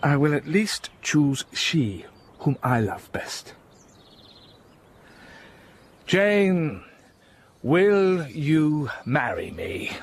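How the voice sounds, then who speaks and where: An actor playing a blind, scarred older gentleman in a radio drama, speaking with intense, impassioned feeling. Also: a little high, big pitch swings, drawn-out words, exaggerated voice. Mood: tender.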